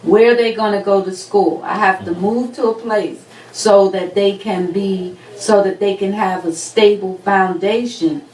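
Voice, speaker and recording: A woman speaking in a measured, advising way on a talk show. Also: mid-range voice, steady tone, darkish tone, echoey room, recorded from a distance, poor audio. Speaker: panelist.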